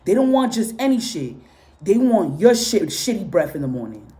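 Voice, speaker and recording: A woman's voice: medium pitch, big pitch swings, normal speed, mellow. A woman from Guatemala and Honduras, Spanish her first language, giving a casual spoken reading with blunt, profane, repetitive commentary. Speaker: tarot and spiritual reader.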